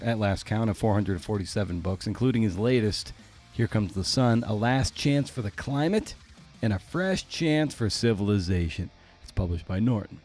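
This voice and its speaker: A man's voice: fairly low pitch, dark tone, no room sound, studio quality. A man hosting a podcast, delivering a casual, irreverent intro with self-mocking jokes. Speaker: podcast host.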